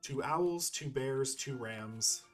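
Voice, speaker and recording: A male gaming YouTuber talking through his play in a mid-pitched voice. He rambles and thinks aloud in a playful, informal way, with game music running underneath. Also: takes it slowly, fairly bright tone. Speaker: gaming YouTuber playing and commenting. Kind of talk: casual let's-play commentary.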